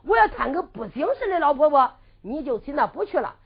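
A woman with a Henan accent voicing a teasing, sharp-tongued young servant girl in quick sing-song banter, on a muffled lo-fi recording. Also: high voice, very animated. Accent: Henan dialect.

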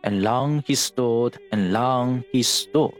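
A man's voice: medium pitch, slow pace. A man hosting a podcast, narrating literary history from a script in a bookish, explanatory manner. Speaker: podcast host. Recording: music under the voice.

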